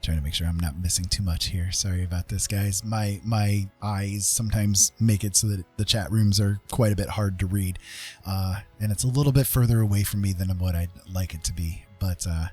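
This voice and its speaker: Casting: American male streamer, husky, slightly deep, chatting casually with stop-start repetitions over quiet music. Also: fairly even intonation. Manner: halting, often repeats words. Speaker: livestream host. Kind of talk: casual livestream chat.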